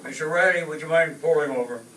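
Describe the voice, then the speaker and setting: A man's voice: a little high, fast talker, natural voice. A man playing a formal, firm store manager in an old-time radio comedy, speaking in an announcing manner. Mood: firm, apologetic. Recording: echoey, distant mic, noisy room.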